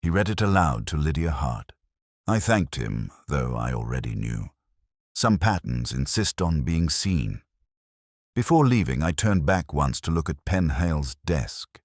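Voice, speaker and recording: A man narrating a detective story in the first person in a measured, reflective, literary manner. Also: a bass voice, sing-song, dry recording, clean studio sound.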